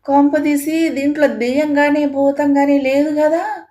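A woman's voice: high voice, steady tone, measured pace, dark tone, voice pushed up. A woman voicing a poor, timid old village man in a storybook tale, fretful and full of doubtful questions. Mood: frightened.

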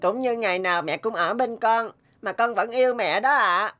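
A woman's voice: fairly high pitch, moderate pace, lightly acted. A woman voicing a sweet, brave, sick little boy in a cartoon drama, childlike, earnest and polite. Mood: affectionate. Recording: music bed behind the voice. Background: music.

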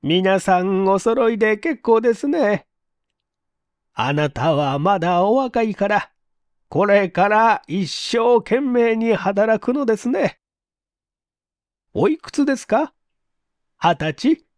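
Guy doing a gentle old landlady in an audio story, high voice, really lilting. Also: lively intonation, takes it slowly, long pauses, dry recording, clean studio sound. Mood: kindly, welcoming. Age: older. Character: kindly elderly Russian landlady, gentle and polite.

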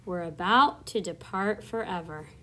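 A woman doing a gentle kindly ladybug. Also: fairly low pitch, measured pace. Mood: kind, reassuring.

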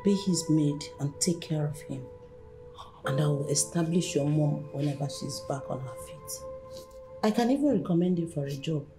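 A woman's voice: low voice, rough recording. An actress playing a composed wealthy mother who offers a young woman a job as maid.

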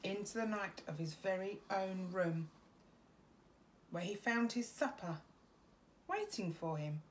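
A middle-aged woman reading a children's bedtime story expressively, with dramatic pauses. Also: medium pitch, animated, a little hiss or hum, poor audio. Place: small room at home.

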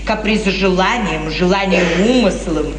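An actress playing a worldly, doting middle-aged noble mother, measured and maternal, in theatrical 1950s radio drama style. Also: lowish voice, a crowd in the background, lightly acted. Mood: knowing, reassuring. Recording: echoey reverberant 1950s radio drama recording.

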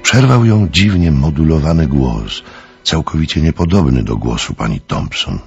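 A man narrates a suspense story in an extremely low, gravelly voice with lively intonation. Music plays faintly behind him. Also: moderate pace, muffled recording.

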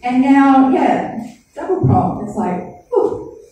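A woman's voice: high voice, sing-song, takes it slowly, warm tone, a little hiss or hum. A woman doing a rambling, crude, self-deprecating stand-up set, often laughing at her own jokes. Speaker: stand-up comedian. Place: small comedy club stage. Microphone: handheld stage mic, filmed from the audience. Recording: distant, echoey club room with faint ambience.

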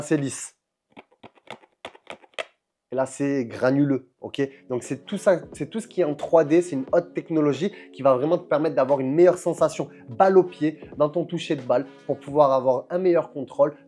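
Young male boot reviewer, mellow tone, upbeat and pally, soft music underneath. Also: on the high side, normal speed. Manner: energetic, chummy, addresses viewer as "mon soldat". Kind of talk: casual product review to camera. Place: indoor room with shelves of football boots.

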